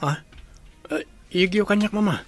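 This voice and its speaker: A man's voice: high voice, moderate pace, faint music behind, natural voice. A man playing a dutiful young adult son in an Ilocano radio drama, talking in an earnest, placating way. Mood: agreeable.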